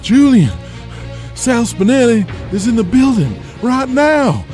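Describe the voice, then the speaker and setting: A man voicing a nervous, dumbstruck office underling in an audio drama, flustered and excitable. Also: extremely high pitch, slow pace, faint music behind, voice pushed up, exaggerated voice. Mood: alarmed.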